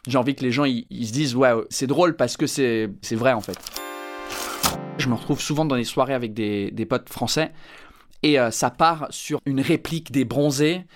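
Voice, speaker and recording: A man's voice: medium pitch, moderate pace, soft background music. A man in his thirties from England, a stand-up comedian speaking French with an English accent, answering interview questions with many fillers and restarts.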